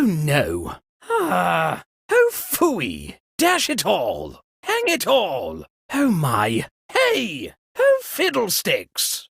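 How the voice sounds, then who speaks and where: An actor playing an excitable, pompous aristocrat host and amateur sleuth in a cartoonish party game, with a fussy, genteel, theatrical delivery. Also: male voice, sits high, creaky, slightly rough, no echo, clean studio sound, full character voice. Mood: exasperated. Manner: theatrical, genteel, fussy, with old-fashioned exclamations.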